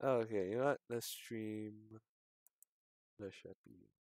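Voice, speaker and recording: A man's voice: on the low side, takes it slowly, darkish tone, no echo, clean studio sound. Rambling, hesitant male game streamer. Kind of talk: casual livestream chat over a visual novel. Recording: music behind the voice. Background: game music.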